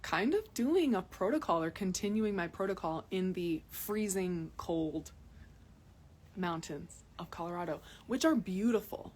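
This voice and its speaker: A woman's voice: lowish voice, lively intonation, a little slow, darkish tone, slight background noise. A young adult woman from Massachusetts, chatting casually to viewers in a live video recorded outdoors on a phone, often repeating words for emphasis. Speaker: health vlogger. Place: outdoors in cold mountains.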